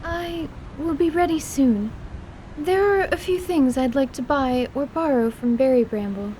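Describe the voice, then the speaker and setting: A woman acts out an earnest young lady surgeon in a fantasy radio play. Her voice is pitched very high, with formal, eager, precise phrasing. A clear rumble of ambience surrounds her. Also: unhurried, dark tone, poor audio. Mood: resolved.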